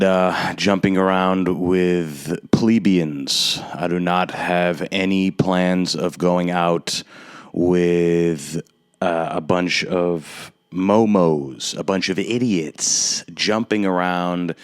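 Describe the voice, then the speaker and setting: A young man in his twenties with a very low voice hosts his podcast alone. He rants in a sardonic tone and stretches his words out for emphasis. The recording is dry and close, with no room sound. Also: clear, resonant tone, no echo. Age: 20s. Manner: sardonic, ranting, drawn-out emphatic delivery. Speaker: podcast host. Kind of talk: solo podcast rant.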